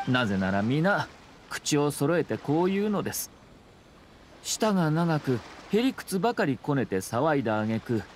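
Slyly polite young nobleman, lively pitch. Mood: teasing, sly. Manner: polite, formal, veiled sarcasm. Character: refined young nobleman commissioner, calm, slyly mocking. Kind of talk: Japanese anime game voice acting. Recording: game dialogue over ambient scene noise. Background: game scene ambience.

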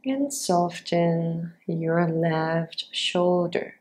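Very slow, low-voiced woman guiding meditation. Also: flat delivery, darkish tone. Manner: unhurried, measured, with long pauses between short instructions. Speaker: yoga nidra teacher guiding a practice. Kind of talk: guided meditation.

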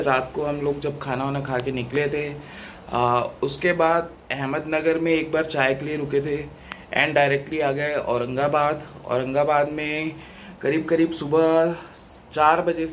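A man in his thirties talks to a phone camera held at arm's length, relaxed and chatty, with plenty of fillers. His voice sits a little high. Steady car and road noise runs under the recording. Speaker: travel vlogger. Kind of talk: casual travel vlog narration. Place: in a car on a highway at night, outside a roadside hotel, and in a hotel room.

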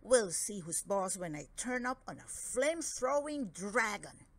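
Lady doing a mean little gnome boss, barking orders, lively and growly, storybook villain. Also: medium pitch, measured pace. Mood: vengeful. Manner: commanding, scolding, growling.

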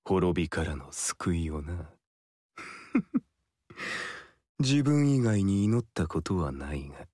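An actor playing an arrogant god in a video game, speaking with smug, condescending amusement. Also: male voice, deep voice, sing-song, slow pace, pauses often, a little mellow, no room sound, clean studio sound, heavily acted. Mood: haughty. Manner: haughty, smug, drily amused. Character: arrogant god, cool and condescending, hungry for power. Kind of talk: video game cutscene dialogue.

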